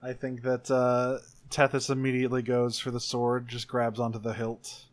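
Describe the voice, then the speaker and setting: A man with a medium-pitched voice takes part in a tabletop roleplaying game. He describes his character's actions deliberately, pausing mid-sentence to think. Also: completely flat delivery. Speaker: player in an actual play tabletop game. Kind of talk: improvised tabletop roleplay and rules reading.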